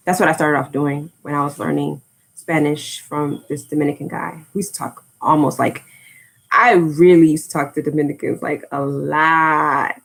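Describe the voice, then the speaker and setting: American woman, low voice, loose rambling livestream chatter. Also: little pitch movement, normal speed, gravelly. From United States. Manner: rambling, drifts off topic, frequent fillers. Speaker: language-learning YouTuber. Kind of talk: casual livestream chat.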